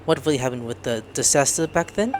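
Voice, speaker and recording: A man giving casual game commentary, excitable and chatting to himself as he plays. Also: mid-range voice, fast, slight background noise. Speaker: gaming content creator.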